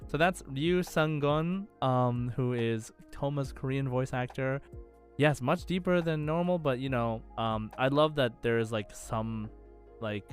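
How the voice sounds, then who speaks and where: A man's voice: mid-range voice, unhurried, soft background music. A man hosting a podcast quiz in casual chat, rambling and hesitant, leaning often on the word "like". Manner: hesitant, rambling, heavy use of "like". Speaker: podcast host, quizmaster.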